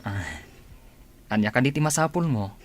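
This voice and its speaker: A man's voice: medium pitch, sing-song, normal speed, lightly acted. An actor with a Filipino accent playing an earnest, hardworking married man in a radio drama. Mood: wary, surprised.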